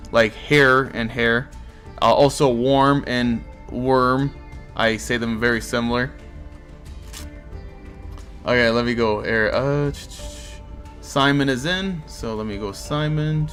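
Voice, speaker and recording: A man's voice: mid-range voice, fairly even intonation, takes it slowly, fairly bright tone, faint music behind. A man with a Portuguese accent hosting a card game tournament on a live stream, casually chatting and answering viewers' comments. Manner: easygoing, chatty, reacts to chat.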